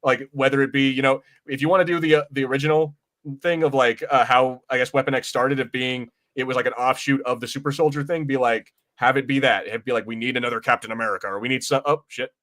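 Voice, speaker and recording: A man's voice: medium pitch, steady tone, fast, fairly bright tone. Casual, rambling male podcast co-host. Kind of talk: casual film and comics discussion.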